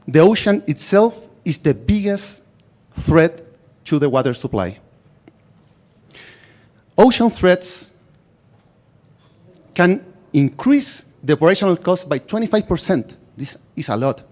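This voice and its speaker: Higher-voiced Chilean man pitching on stage. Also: a little mellow. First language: Spanish. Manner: emphatic, short deliberate phrases in non-native English. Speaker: startup founder presenting. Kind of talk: rehearsed pitch presentation.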